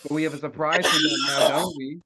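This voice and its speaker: A man's voice: a little high, steady tone, quick pace, heavy background noise, lightly acted. A man acting a foul-mouthed, sentimental schemer in a comedy table read, over a dull online connection. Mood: smug.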